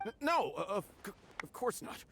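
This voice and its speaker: A haughty male doubter in a video game. His voice is very high for a man and moves up and down widely, with pointed emphasis. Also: sing-song, moderate pace, creaky, pushed and bright, slight background noise, full character voice. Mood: nervous.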